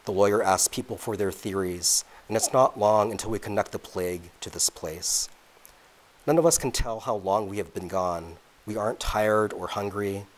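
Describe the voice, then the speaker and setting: A man, an author, reading aloud from his own novel at a public event in a measured, deliberate way. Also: on the low side.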